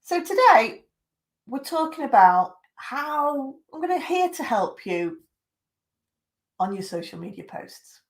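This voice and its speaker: Woman, croaky voice, chest infection, chatty live-stream trainer, middle-aged. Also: sits high, very animated, takes it slowly. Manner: chatty, greets viewers by name. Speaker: social media trainer. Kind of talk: live-streamed tips talk.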